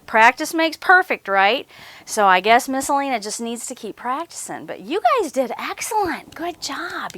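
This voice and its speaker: A woman's voice: fairly high pitch, bright, forceful tone, no echo. A woman hosting a children's story time, reading aloud and chatting encouragingly with simple questions for young listeners. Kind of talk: children's read-aloud and chat.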